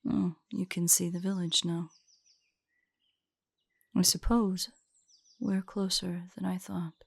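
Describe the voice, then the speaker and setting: A woman playing an ancient, guarded female drider in an intimate audio roleplay, speaking in a formal, measured, haughty way with a husky, breathy voice. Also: on the low side, darkish tone, dry recording. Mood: grave, cautioning.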